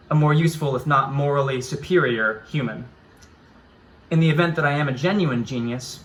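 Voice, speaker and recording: A man reading his own surreal prose in an even, deliberate, philosophical way. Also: mid-range voice, poor audio. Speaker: writer.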